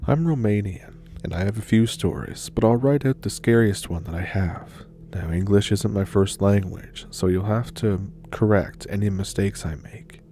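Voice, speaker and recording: Deep-voiced man reading scary stories. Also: warm tone, faint music behind. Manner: measured, unhurried reading. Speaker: storytelling channel host. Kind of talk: scripted narration of scary stories.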